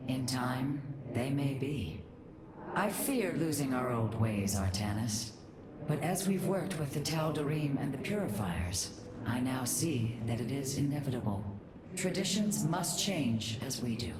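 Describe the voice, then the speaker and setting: Very low-voiced alien matriarch. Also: female voice, big pitch swings, unhurried, exaggerated voice. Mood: wistful, resigned. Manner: formal, reflective. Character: wise, wistful female alien matriarch. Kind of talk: science fiction game acting. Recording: distant, echoing, loud ambient noise.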